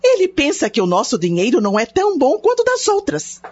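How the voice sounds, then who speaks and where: A woman's voice: high voice, wildly swooping pitch, brisk, darkish tone, lo-fi sound. A woman playing a protective, fretful wife and mother in a radio drama. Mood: indignant.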